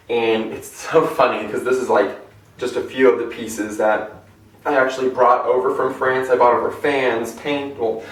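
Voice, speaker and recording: A young American man presenting a collection in a casual, chatty, enthusiastic and rambling vlog style. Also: mid-range voice. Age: young adult. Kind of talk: casual show-and-tell vlog. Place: indoor room at home. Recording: echoey room, distant microphone.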